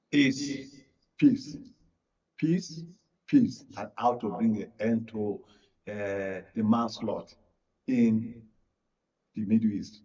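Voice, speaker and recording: A man's voice: on the low side, mellow, breathy edge. A Nigerian man giving radio panel commentary as a media analyst, earnest and emphatic, repeating phrases for weight. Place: radio studio. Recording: muffled audio, distant from mic.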